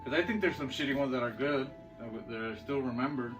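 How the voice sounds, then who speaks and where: A man's voice: mid-range voice, fast, a bit bright. A man co-hosting a podcast, talking casually and off the cuff about films and games with slangy, profane, jokey banter. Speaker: podcast co-host. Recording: far from the mic, music behind.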